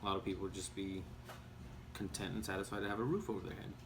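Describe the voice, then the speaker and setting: A man in his twenties from Dallas, giving thoughtful, measured interview answers. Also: low-pitched, steady tone, very noisy. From Dallas, Texas. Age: 20s. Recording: music playing behind.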